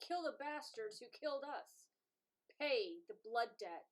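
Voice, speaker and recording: A woman's voice: on the high side, lively intonation, measured pace, poor audio. A woman playing the bitter, resentful ghost of a young soldier in a play reading. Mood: bitter, vengeful.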